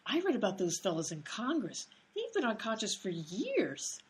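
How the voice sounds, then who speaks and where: A woman's voice: mid-range voice, wildly swooping pitch, moderate pace, breathy edge, full character voice. A woman playing a ditzy, literal-minded hospital nurse with a naive manner in an absurd radio comedy sketch. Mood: ditzy, oblivious. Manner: ditzy, naive, swooping delivery.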